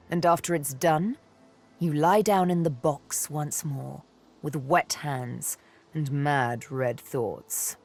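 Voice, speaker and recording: A woman's voice: low voice, a little mellow, full character voice. A woman playing a sly, bitter elf assassin and former slave in a video game, with a teasing, menacing way of telling things. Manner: slow, teasing, menacing storytelling delivery. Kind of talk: video game character acting. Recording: music behind the voice.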